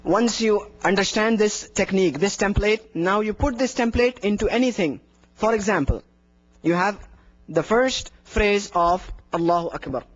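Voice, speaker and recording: A man with a notably high voice gives an instructional lesson on the call to prayer. He is earnest and encouraging, demonstrates sounds as he explains them, and the recording is dull and lo-fi. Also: normal speed. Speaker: adhan teacher.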